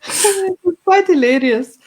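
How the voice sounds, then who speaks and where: Woman, Cape Town accent, very high voice, slow and unhurried; relaxed storyteller on a video call. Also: low-quality recording. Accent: Cape Town South African. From South Africa. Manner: unhurried, warm storytelling, laughs a lot. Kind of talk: casual conversation.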